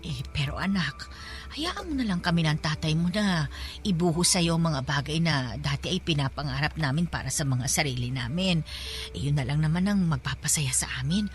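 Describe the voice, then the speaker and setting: Radio drama role: poor, devoted mother, Filipino accent, lower register, motherly and persistent. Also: animated, normal speed, soft background music. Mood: coaxing, tender. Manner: coaxing, motherly. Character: loving, insistent middle-aged mother from a poor household.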